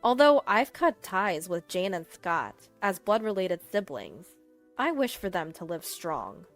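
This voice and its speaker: A woman's voice: on the low side, sing-song, a little slow, no echo, faint music behind. A woman narrating a scripted first-person story as its storyteller.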